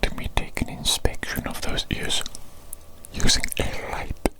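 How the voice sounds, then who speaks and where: A woman voicing an eccentric, theatrical evil doctor in a breathy whisper for an ASMR medical roleplay, often repeating words and phrases. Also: a little slow, lightly acted. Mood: soothing, insistent.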